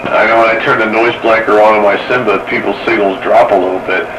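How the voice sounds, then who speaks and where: A male radio hobbyist talks casually and skeptically over a two-way radio. His voice is on the low side and sounds away from the mic, amid clear noise. His delivery is matter-of-fact. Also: level tone, fast talker. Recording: distant, noisy two-way radio audio.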